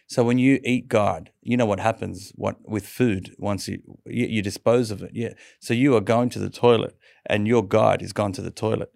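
A man's voice: fairly low pitch, steady tone, moderate pace, gravelly, darkish tone, dry recording, clean studio sound. A middle-aged man from Sydney with an Australian accent, telling his own story conversationally as an interview guest.

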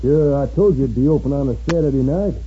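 An actor playing a cocky, rough younger crook with an American accent in a 1950s radio western. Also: male voice, mid-range voice, quick pace, close to an everyday voice. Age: young adult. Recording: dull, faintly noisy 1950s radio recording.

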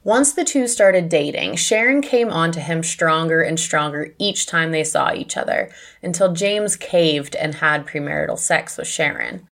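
A woman's voice: low-pitched, a little slow, hardly any pauses, a bit bright. An American woman hosting a podcast, narrating true crime in a conversational, scripted style. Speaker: podcast host.

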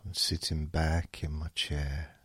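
Male hypnosis host, extremely slow and hushed, husky voice, close dry sound. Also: a bass voice, level tone, no room sound. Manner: very slow, hushed delivery with long pauses. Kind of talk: slow relaxation hypnosis, soothing.

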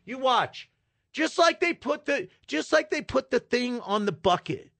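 High, lively talk-radio host ranting. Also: male voice, unhurried, gravelly, no room sound. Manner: animated, incredulous ranting. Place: radio studio. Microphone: studio microphone.

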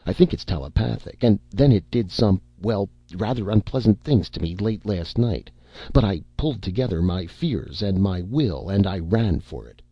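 A man voicing a terrified, frantic grown man whose voice strains and goes shrill as he pleads. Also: expressive, normal speed, husky, dry recording, highs cut off. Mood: strained. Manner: pleading, breaks into high frantic cries.

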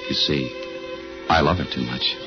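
An American actor playing a tough, cool husband turned detective in a 1950s radio thriller, with clipped, deadpan, accusing delivery. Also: male voice, rumbling low, moderate pace, full character voice. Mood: tender, wry. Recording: muffled old radio recording with music.

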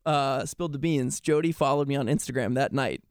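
A man's voice: mid-range voice, brisk, no room sound, studio quality. A young man, a stage actor being interviewed, telling stories casually, often saying "like" and acting out remarks he quotes. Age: young adult. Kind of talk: animated casual storytelling.

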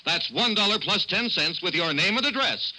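A man's voice: expressive, normal speed, sharp tone, poor audio. A man announcing a scripted hard-sell mail-order radio ad in an emphatic, exclamatory way. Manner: emphatic, exclamatory sales pitch.

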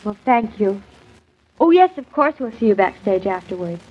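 A woman's voice: medium pitch, lively intonation, moderate pace, breathy edge. An actress playing a naive, playful young wife in a 1940s radio drama, with a girlish, guileless way of talking. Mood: polite, surprised. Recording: dull, lo-fi 1940s radio broadcast.

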